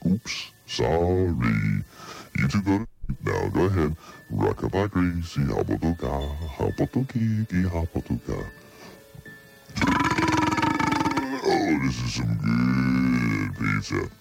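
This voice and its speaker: A man voicing a greedy, pushy male monster living under a bed in a comedy radio bit, with a gravelly, demanding voice. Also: extremely low pitch, lively intonation, takes it slowly, a little mellow, faint music behind, heavily acted. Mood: contented.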